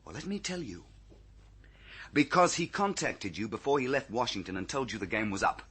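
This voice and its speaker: An actor playing a shrewd, sardonic investigator in an old radio drama, dry, commanding and raspy. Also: male voice, medium pitch, sing-song, normal speed, sharp tone, full character voice. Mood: accusing. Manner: dry, clipped, accusing.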